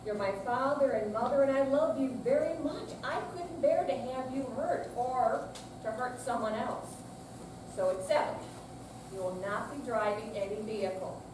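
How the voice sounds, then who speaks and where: Heard across a noisy, echoing hall, this is a woman reading the part of a grown daughter. Her voice sits fairly high. She is worried and firm with her aging parents in a stage reading. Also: moderate pace, lightly acted. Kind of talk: staged readers theater reading. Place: senior center stage reading, far from mic. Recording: echoey noisy hall, distant mic.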